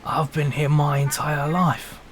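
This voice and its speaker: A man's voice: on the high side, flat delivery, unhurried, natural voice. Man acting a guarded, curt old shopkeeper. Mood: suspicious, dismissive. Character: stooped, bony old male shopkeeper, wary and unhelpful.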